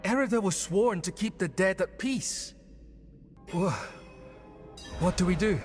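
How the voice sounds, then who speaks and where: An actor playing an earnest, studious young druid who sounds unsure of himself, in a video game. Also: male voice, sits high, unhurried, faint music behind. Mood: anxious. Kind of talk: video game dialogue acting.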